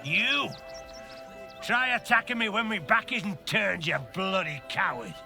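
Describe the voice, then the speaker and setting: An irate townsman who barks and swears in a high, jumpy voice. He is gruff and indignant. Game music plays underneath. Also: expressive, measured pace, full character voice. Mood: furious. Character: angry, foul-mouthed British townsman.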